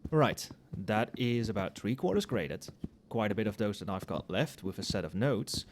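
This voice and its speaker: Male, acting as a friendly, conscientious professor a few drinks in; lowish soft voice, muttering, very varied intonation, for late-night ASMR roleplay. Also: sing-song, normal speed, slight background noise. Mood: caring, insistent. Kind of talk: casual ASMR roleplay, addressing an unheard colleague.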